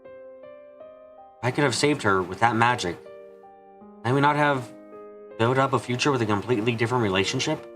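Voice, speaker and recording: A man giving an earnest amateur dramatic reading of a visual novel, halting for dramatic pauses. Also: mid-range voice, fairly bright tone. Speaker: amateur fan dubber. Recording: music behind the voice. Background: background music.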